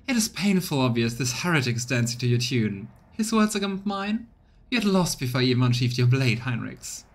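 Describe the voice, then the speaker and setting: A man's voice: mid-range voice, sing-song, brisk. A man voicing a harsh, imperious noblewoman in a game, scornful and haughty, with music behind. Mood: haughty irritation. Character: harsh, angry, commanding noblewoman, contemptuous and imperious.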